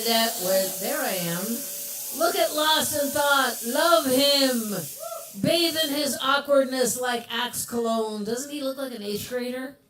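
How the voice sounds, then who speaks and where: Woman host, low voice, hype emcee with a playful streak, live venue mic. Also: slow, a bit bright, a little hiss or hum, poor audio. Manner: playful, teasing, hyped-up announcing. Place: coffee shop with a bar, live event.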